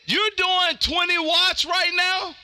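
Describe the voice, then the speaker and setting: An American man hosting a ham radio stream, talking casually in a loud, animated, slangy way. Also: extremely high pitch, slow, very noisy. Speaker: amateur radio operator hosting a live stream. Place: home radio room.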